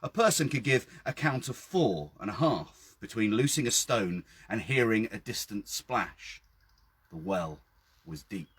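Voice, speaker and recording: A British man reads his own literary story aloud outdoors. His voice is fairly low and husky, and his pitch moves expressively through measured first-person narration. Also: lively intonation, fairly bright tone. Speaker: author reading own story. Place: outdoors by a well.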